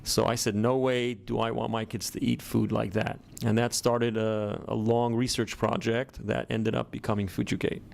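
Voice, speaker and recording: A middle-aged man with an American accent, a startup founder answering interview questions in a measured way with many ums and uhs. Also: medium pitch, level tone, creaky, darkish tone. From born in Israel, grew up in the US.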